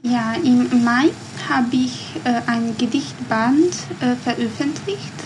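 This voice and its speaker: A young woman with a Bosnian accent speaks slowly and carefully in her second language, pausing often and filling gaps as she searches for words. Her voice sits fairly high. Steady background noise runs clearly under the recording. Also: poor audio. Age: 20s. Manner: careful, hesitant speech with many pauses and fillers. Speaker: guest, poet. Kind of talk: podcast interview.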